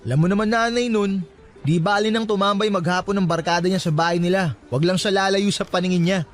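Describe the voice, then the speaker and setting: A man's voice: high voice, fairly even intonation, fairly quick, soft background music, natural voice. A man with a Filipino accent playing an easygoing young gas station worker who ribs his friends in slangy radio drama talk. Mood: playful ribbing. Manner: slangy, ribbing.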